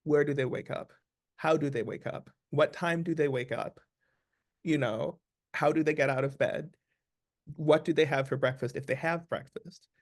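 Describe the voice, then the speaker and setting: A man speaking as an actor being interviewed, explaining things casually in a thoughtful, deliberate way. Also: fairly high pitch, fairly even intonation.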